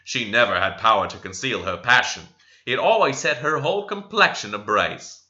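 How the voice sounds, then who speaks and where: Male audiobook reader, animated intonation, forceful bright tone, literary storytelling, slightly lo-fi recording. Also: mid-range voice, moderate pace. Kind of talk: expressive literary narration.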